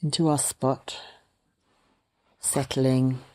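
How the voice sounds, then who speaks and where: A woman guides a meditation in a very low, soft-edged, mellow voice. She speaks slowly and gently, offering a few words at a time. Long pauses separate her short phrases. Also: flat delivery. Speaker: meditation teacher.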